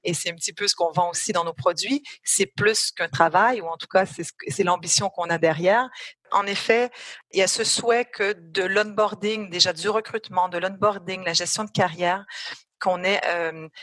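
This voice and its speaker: A woman's voice: mid-range voice, moderate pace, a bit bright, no room sound. Woman, French-Canadian accent, middle-aged, hesitant and thoughtful, dry dead sound. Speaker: HR executive interviewee. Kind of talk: interview answers.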